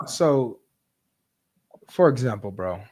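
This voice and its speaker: A man's voice: mid-range voice, lively intonation, takes it slowly, a little mellow, no echo. A man hosting a live debate, asking probing, pointed questions.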